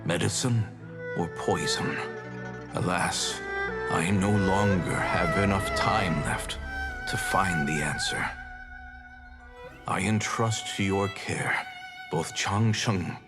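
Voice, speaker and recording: An actor playing a sorrowful, dying master healer in a video game, speaking in a solemn, grave and deliberate way. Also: male voice, deep voice, huge pitch swings, exaggerated voice. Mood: grave, anguished. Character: sorrowful, dying male master healer entrusting his last question. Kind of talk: scripted video game voice acting. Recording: prominent instrumental music behind the voice.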